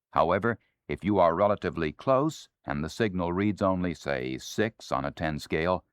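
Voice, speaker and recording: An American man narrates an instructional record in a low voice with animated rises and falls. The delivery is scripted and explanatory, and the sound is close and dry. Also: no room sound.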